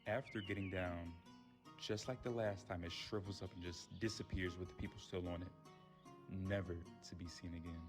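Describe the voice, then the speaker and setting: A man's voice: deep voice, steady tone, moderate pace. A man reading a scripted fairy tale as a storyteller. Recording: music bed under the voice.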